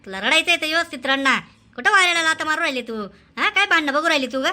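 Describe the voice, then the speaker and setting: High, bright scolding woman. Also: expressive. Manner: scolding, exclamatory, drawn-out theatrical delivery. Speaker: cartoon voice performer. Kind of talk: animated comedy dialogue in colloquial Marathi.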